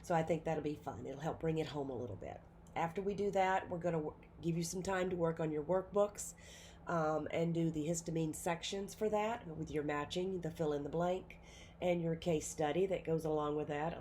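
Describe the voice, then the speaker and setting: A woman's voice: low-pitched, moderate pace, a little mellow, a little hiss or hum. A woman with a cold giving a nursing pharmacology lecture in an informal way, with many fillers and self-corrections. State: has a cold. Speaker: nursing instructor. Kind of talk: recorded classroom lecture.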